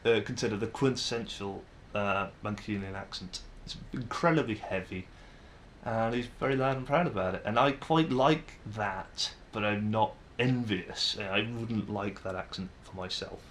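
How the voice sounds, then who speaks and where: A young man with a northern English accent from around Manchester rambles through a casual video monologue, stopping and restarting his sentences. His voice sits on the lower side. A faint rumble runs in the background. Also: normal speed, fairly bright tone. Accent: northern English, Manchester area. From northern England. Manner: rambling, self-deprecating, frequent restarts. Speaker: vlogger.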